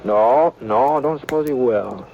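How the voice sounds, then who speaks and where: An American actor playing an easygoing frontier gunman who also narrates, in halting, folksy radio western acting. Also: medium pitch, vocal fry. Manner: halting, folksy drawl with many hesitations. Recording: noisy dull old radio broadcast.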